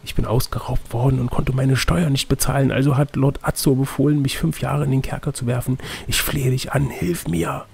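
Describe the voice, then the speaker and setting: A desperate runaway convict from a storybook, acted by a man, begs with laboring effort. His voice is husky and half-whispered, worn out and gravelly. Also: mid-range voice, brisk, few pauses, darkish tone. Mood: desperate pleading. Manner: pleading, labored speech. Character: exhausted, desperate escaped male prisoner begging for help.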